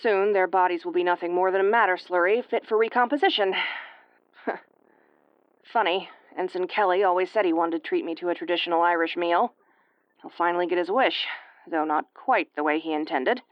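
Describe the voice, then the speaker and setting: A woman's voice: lowish voice, fairly quick, clean tone, no room sound, dull audio, lightly acted. A woman playing an injured, sarcastic starship first officer in an audio roleplay, with a wry, exasperated tomboyish delivery. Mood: weary, rueful. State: woozy and battered. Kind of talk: audio roleplay acting, recorded log monologue.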